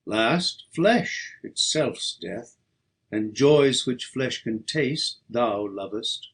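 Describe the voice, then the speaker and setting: A man reading poetry aloud as an audiobook, in a declamatory, measured and emphatic dramatic style. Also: medium pitch, expressive, a bit bright, slightly rough. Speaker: audiobook reader.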